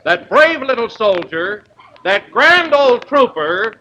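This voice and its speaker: A man's voice: very high-pitched, sing-song, slow pace, pushed and bright. A man serving as announcer on 1930s radio comedy, with a hearty, formal delivery. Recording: dull old radio broadcast, faint hiss.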